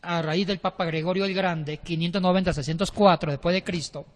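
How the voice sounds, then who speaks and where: A man's voice: high voice, fairly even intonation, fairly quick. A man explaining church history in a casual discussion, often restarting his sentences. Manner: explanatory, with frequent restarts and hesitations. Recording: long reverb.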